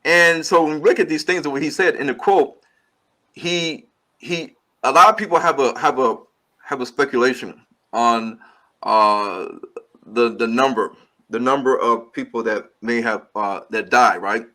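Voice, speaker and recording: Man explaining with a bright voice. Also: medium pitch, measured pace. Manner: long earnest explanations with repeated restarts. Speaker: filmmaker guest. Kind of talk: interview answers.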